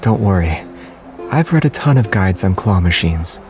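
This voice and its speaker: Low-voiced, gently teasing young man. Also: expressive, moderate pace, faint music behind. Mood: quietly confident. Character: gentle, teasing, quietly confident young man, romantic lead. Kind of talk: romance game voice acting.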